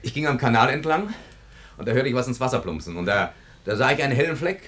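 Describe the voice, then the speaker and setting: A man's voice: medium pitch, expressive, fast talker, fairly bright tone, lightly acted. An actor playing a boastful, eager young man in a radio drama, breezy and fishing for approval. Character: boastful young male rescuer, eager, self-satisfied mama's boy.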